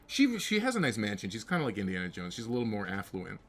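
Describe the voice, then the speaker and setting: A man's voice: on the low side, wildly swooping pitch, fast talker. A young man co-hosting a podcast, rambling in casual, jokingly exaggerated comic banter about films and games. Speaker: podcast co-host.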